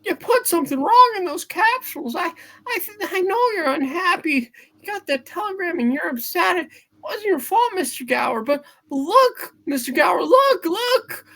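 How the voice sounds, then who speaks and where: A woman's voice: very high-pitched, big pitch swings, moderate pace, pushed and bright, pitched above the performer's own voice, heavily acted. A woman voicing an earnest, frightened young errand boy who stammers and pleads in an amateur radio play reading. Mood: tearful pleading.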